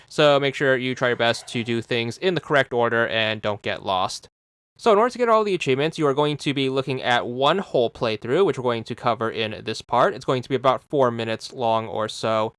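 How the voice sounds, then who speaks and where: A man narrates a game guide in a smooth, clear voice at a middle pitch. He talks viewers through it step by step with casual, instructional phrasing. The sound is dry and close. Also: a bit bright, no room sound. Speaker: host of a game achievement guide. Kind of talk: scripted walkthrough narration addressed to viewers.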